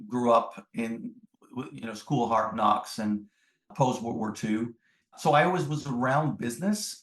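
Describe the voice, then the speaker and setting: A middle-aged man from the Netherlands, a native Dutch speaker, answers interview questions in a reflective, measured conversational way. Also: medium pitch. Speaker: podcast guest, business executive. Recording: roomy, echoing sound.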